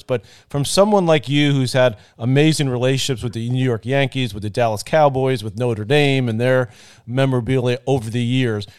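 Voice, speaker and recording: A man's voice: medium pitch, clean tone. A man hosting a podcast interview, asking long, rambling questions with many restarts while he is losing his voice. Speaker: podcast host.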